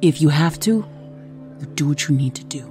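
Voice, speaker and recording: An actress playing a tactful, gently probing woman in an audio drama. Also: low voice, lively intonation, normal speed, soft background music. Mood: businesslike.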